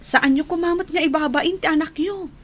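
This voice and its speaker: A woman's voice: high voice, a little fast, close to an everyday voice. An actress with an Ilocano accent playing a concerned young woman who scolds her drinking father in a radio drama. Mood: scolding.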